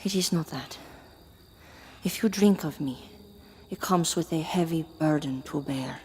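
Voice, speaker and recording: An actress playing a possessive, ancient vampire lover, speaking with solemn, formal deliberation in a gothic horror audio drama. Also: female voice, sits low, measured pace, a little mellow, slight background noise. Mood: solemn, insistent.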